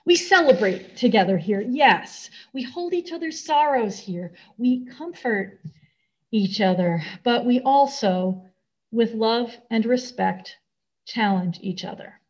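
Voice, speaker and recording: Female preacher, American accent, higher-pitched voice, sermon delivery, deliberate phrasing with pauses. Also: lively intonation, a little mellow, rough recording. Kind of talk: sermon to a congregation.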